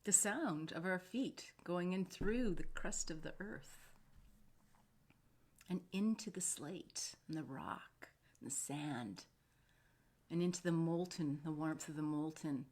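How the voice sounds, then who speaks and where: A woman hosts an informal guided meditation in a slightly low voice. She talks very slowly and soothingly, meandering through unscripted phrases and leaving pauses between them. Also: darkish tone.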